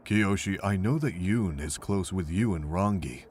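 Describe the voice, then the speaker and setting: A man voicing a weary, kindly older monk with a measured, earnest way of telling things, in a fan visual novel. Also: sits low, darkish tone, breathy edge. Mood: grave, earnest.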